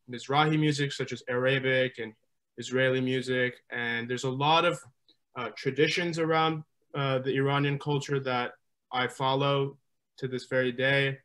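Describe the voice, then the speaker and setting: A young man with a mid-range voice speaks steadily on a video call, often pausing on small fillers. His tone stays plain and even. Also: medium pitch. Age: young adult. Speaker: student panelist. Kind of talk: online panel discussion.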